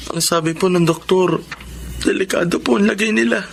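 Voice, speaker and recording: A man's voice: high voice, normal speed, vocal fry, a little hiss or hum. A man playing a polite, devout teenage son in a Tagalog radio drama, respectful and earnest. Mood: worried.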